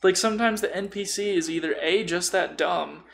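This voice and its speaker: Man, slightly high pitch, creaky fry, casual podcast chat, explanatory, game master, light background noise. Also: moderate pace, a bit bright. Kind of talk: casual chat about tabletop role-playing.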